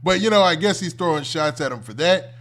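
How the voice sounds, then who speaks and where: An American man with a somewhat high-pitched voice hosts a reaction stream. He talks casually and off the cuff, in loose colloquial phrases, as if chatting directly to his viewers. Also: expressive, brisk, a bit bright. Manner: conversational, colloquial, often ends phrases with "man". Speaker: host of a commentary livestream.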